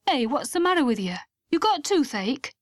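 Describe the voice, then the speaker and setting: A woman voicing a gruff, protective older mother who talks bluntly and scolds. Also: high-pitched, big pitch swings, normal speed, no room sound, studio quality, voice pushed up. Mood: brusque.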